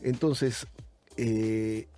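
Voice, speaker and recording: A man's voice: fairly low pitch, fairly even intonation, very slow, mellow, faint music behind. A man interviewing a guest, asking questions and telling a personal anecdote in a conversational way. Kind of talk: interview on air.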